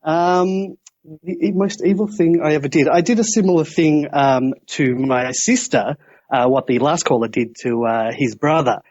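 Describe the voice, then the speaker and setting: A man's voice: on the high side, moderate pace, darkish tone, no echo. An Australian man calling in to a radio show over the internet, chatting casually, politely and a little hesitantly. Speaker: call-in show caller. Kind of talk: casual live chat with a radio host. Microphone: internet voice call. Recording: muffled internet call on radio.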